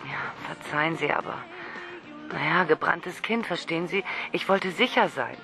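Shy tipsy lady caller, whispery and jittery, kinda lowish but bright voice, radio play. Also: moderate pace, soft background music, exaggerated voice. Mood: confiding. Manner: breathy, nervous, whispering. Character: lonely shy woman caller, tipsy, obsessive. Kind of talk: radio drama acting.

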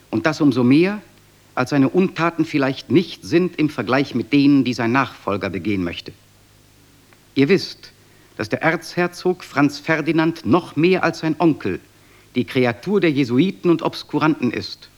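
A man's voice: medium pitch, lightly acted. A man playing a grave, fervent lodge orator denouncing tyranny in a German radio drama, rhetorical and accusing. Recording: slightly dull, muffled recording.

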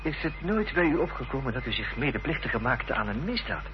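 A man's voice: medium pitch, very animated, brisk, lightly acted. Actor as a formal male investigator, muffled radio drama. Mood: accusing. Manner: formal, probing questioning. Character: polite, persistent male investigator questioning a witness. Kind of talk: Dutch radio play acting. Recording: dull old radio recording with hiss and hum.

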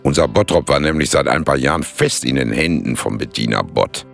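An actor narrating a radio play as a wry, blunt working-class buddy with a gravelly voice and slangy way of talking. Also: male voice, rumbling low, brisk, a bit bright, soft background music, full character voice. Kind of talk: colloquial radio drama narration.

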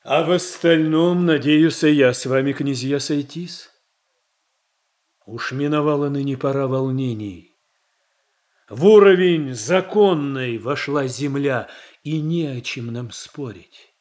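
An actor playing a measured, self-assured statesman in a verse radio play, speaking in a deliberate, formal, declamatory way. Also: medium pitch, a little mellow, lightly acted. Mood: conciliatory. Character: measured, self-assured statesman boyar defending his reforms.

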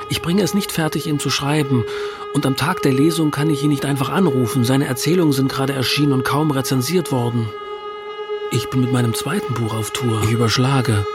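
An actor playing a self-doubting writer in a radio drama, recounting things in a reflective, confessional way. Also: male voice, medium pitch, lightly acted. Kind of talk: radio drama narration and dialogue.

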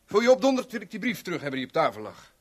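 A man's voice: sits high, very animated, rapid-fire. An actor playing a hostile, hot-tempered man, agitated, curt and commanding, in a 1970s radio play. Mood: hostile, angry.

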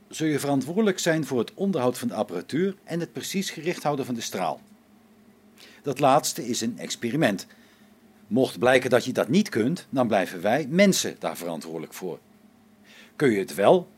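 Male actor as skeptical engineer, raspy voice, lively pitch swings, informal, wary, science fiction radio drama. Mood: instructive. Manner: informal, expressive.

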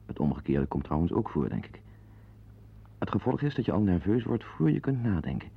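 An actor playing a nervous, reclusive henpecked husband in a radio play, with a hesitant, apologetic, wry manner. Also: male voice, on the low side, creaky, slightly rough, exaggerated voice. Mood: wry, resigned. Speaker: radio play narrator and lead. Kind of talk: radio drama monologue and dialogue. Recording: dull lo-fi radio play, music behind.

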